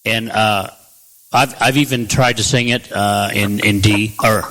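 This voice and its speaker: A man's voice: on the low side, fairly even intonation, measured pace, a bit bright. A man hosting a radio interview show, asking questions in a friendly, encouraging way. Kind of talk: casual interview chat.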